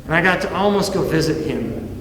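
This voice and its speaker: A man's voice: a little high, expressive, a bit bright, recorded from a distance, a little hiss or hum. Earnest man preaching in a reverberant hall. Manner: earnest, preacherly, slowing for emphasis. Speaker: ministry president giving a talk. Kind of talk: formal apologetics talk.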